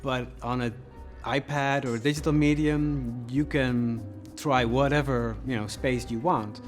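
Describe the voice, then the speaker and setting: A man's voice: mid-range voice, fairly even intonation, a little slow. A man casually explaining his work as a developer in an interview, informally thinking aloud. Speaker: creative developer. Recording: music behind the voice.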